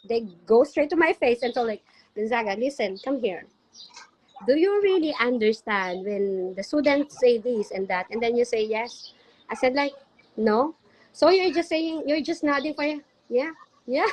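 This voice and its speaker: A woman from the Philippines with a Filipino accent, a native Filipino speaker, telling stories casually as an interview guest and acting out dialogue in an animated manner. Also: high voice, a little hiss or hum, poor audio. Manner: animated, acts out quoted dialogue. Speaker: interview guest, special education teacher.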